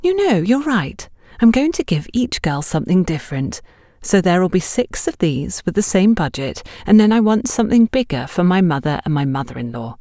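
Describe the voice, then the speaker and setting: A woman's voice: lowish voice, big pitch swings, moderate pace, hardly any pauses, warm tone, dry recording. A narrator, a woman, plays a friendly, chatty woman out shopping in an audiobook story. She is confiding and decisive, with swooping intonation in a dry, close sound. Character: chatty, friendly, decisive female shop customer.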